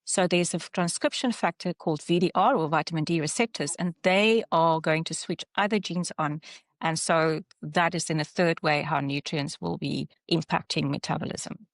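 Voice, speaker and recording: South African woman clinician presenting slides, lowish voice, dry close sound, explains with pauses and restarts. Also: no room sound. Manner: halting explanation with fillers and self-corrections. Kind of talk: online webinar presentation.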